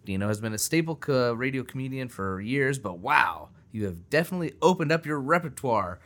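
A man's voice: medium pitch, animated, brisk, a bit bright. A man co-hosting a podcast in a chatty, casual way, plugging the show and reading out a listener review. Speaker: podcast co-host. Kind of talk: casual chat.

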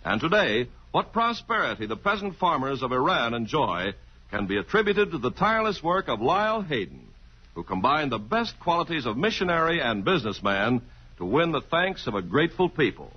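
A man's voice: a little high, big pitch swings, brisk, husky. An American man working as a radio announcer, reading scripted narration in a mid-century broadcast style. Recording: dull old radio broadcast recording.

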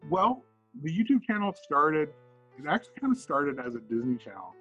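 A man with a Canadian accent, chatting casually and haltingly as a podcast guest. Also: fairly high pitch, very animated, husky. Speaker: podcast guest, video blogger. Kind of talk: casual interview chat. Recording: dull lo-fi audio, faint guitar music.

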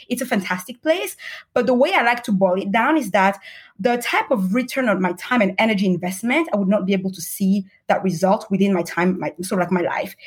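A woman gives conversational interview answers with a husky, slightly rough tone. Her pitch sits in the middle for a woman. She explains herself earnestly, with frequent small asides as she narrates. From Cameroon and Madagascar, partly raised in Paris. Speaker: podcast guest, startup investor.

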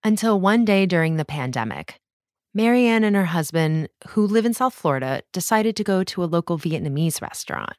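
Measured woman narrating a news podcast. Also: fairly low pitch, animated, darkish tone, no room sound, clean studio sound. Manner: measured, clear news delivery. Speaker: podcast host. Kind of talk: scripted narration.

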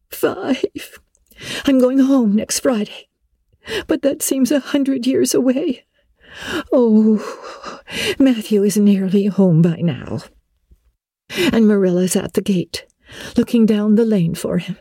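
A woman plays a dreamy, homesick teenage girl from a classic storybook. Her delivery is slow and dramatic, full of wistful exclamations. The voice is soft and mellow. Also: on the high side, husky, dry recording, studio quality, pitched above the performer's own voice, full character voice. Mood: tearful, despairing.

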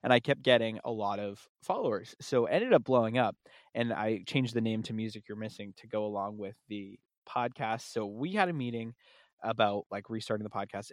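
A man's voice: fairly low pitch, no echo, studio quality. A young man, host of a podcast, telling a story in a rambling way and laughing often. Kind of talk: casual podcast chat.

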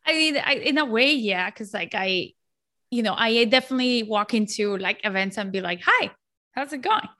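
A woman's voice: on the high side, big pitch swings, normal speed, pushed and bright. A woman from Colombia in her forties, a guest talking in an outgoing, chatty way with many fillers and restarts. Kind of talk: casual interview chat.

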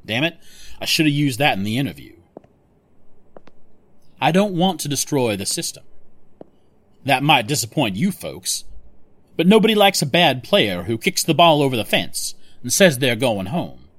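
An actor playing a cocky, ambitious corporate villain in an audio drama, speechifying smugly and persuasively. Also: male voice, medium pitch, big pitch swings, normal speed, pauses often, a bit bright, no room sound. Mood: smug, defiant. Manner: speechifying, smug.

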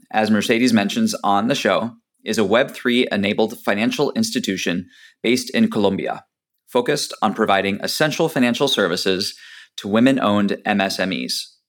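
A man hosting a podcast, reading a measured introduction and then asking friendly questions that stumble a little when he improvises. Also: fairly low pitch. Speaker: podcast host. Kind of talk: scripted intro and interview questions.

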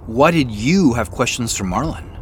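A man's voice: medium pitch, expressive, moderate pace, lightly acted. An actor playing a puzzled, guarded ordinary American man in an audio drama, talking casually and with hesitation. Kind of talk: naturalistic audio drama dialogue. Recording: faint noise behind the voice.

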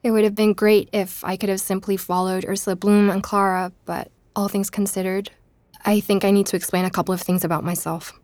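An actress playing a guilt-ridden young woman podcaster, narrating an audio drama as an intimate, halting, reflective confession. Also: mid-range voice, little pitch movement, darkish tone, no room sound, close to an everyday voice. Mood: remorseful. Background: music.

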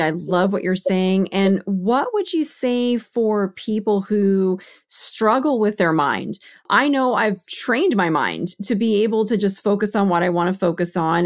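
A woman hosts a podcast interview in a mid-pitched voice. She affirms her guest often and poses long, searching questions. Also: moderate pace, few pauses. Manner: affirming, frequent mm-hmms. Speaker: host.

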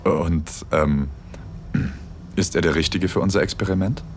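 A man playing a relaxed, teasing, seductively commanding husband in an erotic audio drama. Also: sits low, measured pace, slight background noise.

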